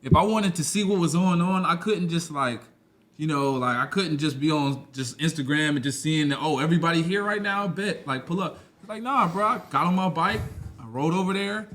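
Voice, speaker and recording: A man's voice: a little high, brisk, fairly bright tone. A man in his twenties, a podcast guest, talking loosely and rambling in a casual conversation. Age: 20s. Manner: loose and rambling, full of "you know what I'm saying".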